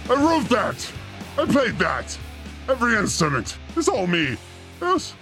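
A man's voice: very high voice, wildly swooping pitch, unhurried, creaky, sharp tone, soft background music. A man hosting a livestream with a rambling, theatrical comic monologue, exclamatory and given to swearing. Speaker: host of a livestream show.

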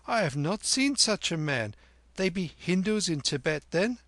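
Here is a bold street boy, acted by a male narrator, pitched high and full of bounce. He teases confidently in old-fashioned storybook phrases, brash and sure of himself. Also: big pitch swings, a little slow, fairly bright tone, no echo, heavily acted. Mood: cheeky, curious. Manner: brash, teasing, archaic phrasing.

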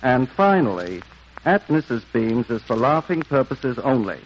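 A man's voice: medium pitch, very animated, a little slow, highs cut off. A man hosting a 1940s radio program, previewing next week's play with a genteel, lightly witty delivery. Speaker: program host.

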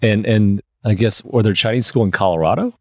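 Deep-voiced man hosting a podcast. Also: a little fast, dry recording, clean studio sound. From United States (Michigan). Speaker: podcast host. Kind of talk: casual interview hosting.

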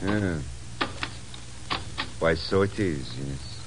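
An actor playing a suave, sly foreign gentleman with courtly, mock-innocent speech in a 1950s radio drama. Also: low voice, extremely slow, background noise, full character voice. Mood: feigned innocence.

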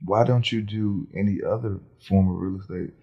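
A man's voice: on the low side, steady tone, moderate pace, muffled recording. A man hosting a podcast, asking blunt, slangy questions in casual interview chat. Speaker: podcast host.

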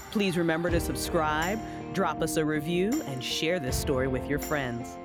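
A woman announcer giving a scripted podcast outro and credits. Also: low-pitched.

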